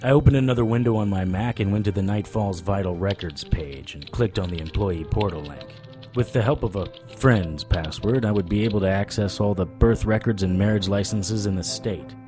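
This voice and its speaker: An actor playing a wry, stubborn occult investigator in an audio drama, talking and narrating in a conversational noir style. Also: male voice, fairly low pitch, moderate pace, dark tone, soft background music, lightly acted. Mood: brooding, musing.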